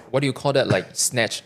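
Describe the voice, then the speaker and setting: A man's voice: medium pitch, a bit bright. A man in his thirties from Malaysia with a Malaysian English accent. He is a podcast guest in casual conversation, speaking candidly, repeating words and checking in with "right?". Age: 30s.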